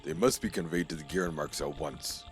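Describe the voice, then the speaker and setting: A man playing a cold, commanding general of dark knights in an audio fantasy drama. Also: rumbling low, steady tone, a little fast, soft background music. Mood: scornful.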